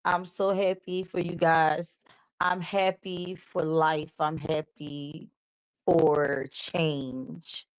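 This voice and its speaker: A woman hosting a live talk show, chatting upbeat with her viewers while her voice is still slightly hoarse. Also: deep voice, flat delivery, extremely slow, dry recording, poor audio. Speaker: host. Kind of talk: casual live show chat.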